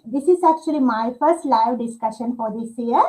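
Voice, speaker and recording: A woman from Sri Lanka hosts a live online chat in a high voice. She speaks in a hesitant way and fills her pauses with many uhs. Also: mellow, rough recording. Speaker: livestream host.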